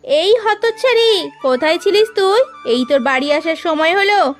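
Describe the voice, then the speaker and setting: Cartoon mom, woman voicing, very high and shrill, melodramatic, light music bed. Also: lively intonation, moderate pace. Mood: scolding. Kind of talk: cartoon voice acting.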